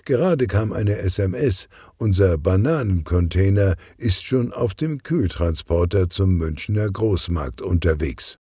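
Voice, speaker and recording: A man's voice: low voice, expressive, unhurried, few pauses, dark tone, slightly rough, no echo, clean studio sound, heavily acted. A man voicing an efficient, eager female business partner of a gangster in an audiobook crime story. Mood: businesslike. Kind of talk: audiobook character dialogue.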